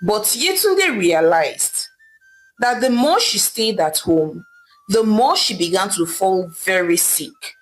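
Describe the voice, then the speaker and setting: A woman's voice: mid-range voice, very animated, takes it slowly, faint music behind, rough recording. A woman narrating a folktale in a dramatic, scripted storytelling style.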